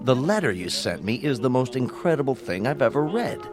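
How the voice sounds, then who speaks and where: Man playing an earnest lawyer, medium-pitched. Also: very animated, a little fast, close to an everyday voice. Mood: incredulous. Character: incredulous lawyer, old friend of the colonel. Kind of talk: radio drama acting. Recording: radio drama with prominent music bed.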